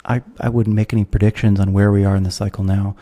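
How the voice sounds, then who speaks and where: Mellow-voiced American man explaining ideas. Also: lowish voice, level tone, quick pace. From United States. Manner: thoughtful, professorial, with wry laughs. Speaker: guest philosopher. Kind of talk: conversational interview.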